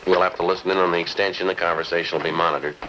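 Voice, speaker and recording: A man's voice: low-pitched, flat delivery, very fast, dull audio, noisy background, lightly acted. A man playing a stoic, methodical American police sergeant in a 1950s crime drama, with a terse, matter-of-fact, businesslike delivery. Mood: methodical, insistent. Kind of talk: 1950s police procedural acting, clipped scripted narration. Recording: vintage 1950s television soundtrack.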